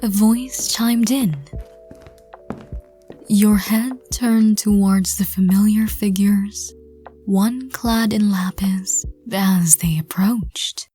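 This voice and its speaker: A woman narrating a scripted audio roleplay in a soft, breathy, whispery voice. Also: mid-range voice, steady tone, slow, soft background music.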